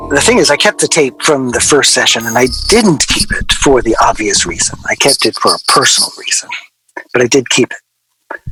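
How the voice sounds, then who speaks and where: A man looking back on a soured collaboration in a naturalistic radio drama. His mid-pitched voice is reflective and measured, with a wistful, aggrieved undertone. Music plays clearly behind him. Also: bright, forceful tone, rough recording. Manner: reflective, measured, rhetorical questioning. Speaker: interview guest. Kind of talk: naturalistic radio drama monologue, reminiscing as in an interview.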